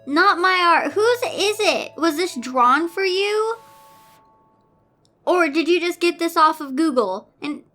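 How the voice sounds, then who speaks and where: Woman, bright high voice, reads stories aloud with exasperated, sarcastic commentary; music underneath. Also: expressive, slow pace. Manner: theatrical, incredulous, sarcastic asides. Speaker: host reading fan fiction aloud with commentary.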